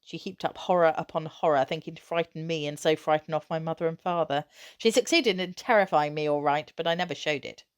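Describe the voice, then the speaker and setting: A woman with a slightly low voice narrates a children's story. She reads expressively, in measured phrases with a storyteller's emphasis. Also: smooth. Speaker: teacher reading aloud.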